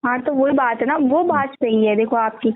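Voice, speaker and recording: A woman explaining a sales pitch on a phone call, often asking for agreement. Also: moderate pace. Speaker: network marketing recruiter. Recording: tinny phone call recording.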